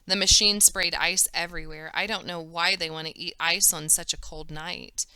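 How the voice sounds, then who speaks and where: A woman's voice: lowish voice, steady tone, moderate pace, pushed and bright, smooth, no echo. A woman voicing a friendly, chatty woman shopkeeper from a children's story. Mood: explaining.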